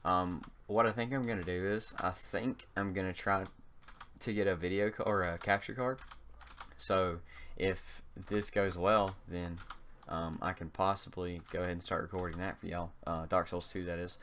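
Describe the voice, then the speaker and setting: A young man from North Carolina with a slight Southern accent talks through a game in a deep, low voice. He speaks haltingly and fills his pauses with um and uh. Faint keyboard clicks and typing can be heard behind him. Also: fairly even intonation, a bit bright, a little hiss or hum. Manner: halting, heavy on um and uh fillers. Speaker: gaming channel host. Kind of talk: casual gameplay commentary.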